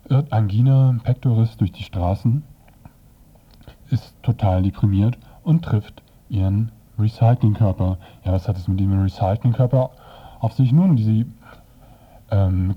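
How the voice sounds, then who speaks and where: A man presenting on radio, retelling a comic's story in a dry, hesitant, semi-improvised way with a husky voice. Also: medium pitch. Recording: dull, lo-fi radio recording.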